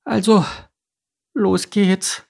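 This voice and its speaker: Man doing a nervous young duckling, high voice, husky edge. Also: drawn-out words, exaggerated voice. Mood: anxious. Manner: nervous, excitable. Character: anxious young male duckling.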